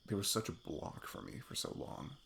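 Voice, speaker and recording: A man playing a sweet, nervous best friend in an intimate ASMR roleplay, halting and self-deprecating as he opens his heart. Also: low-pitched, wildly swooping pitch, vocal fry, fairly bright tone, slight background noise, exaggerated voice. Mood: reflective, candid.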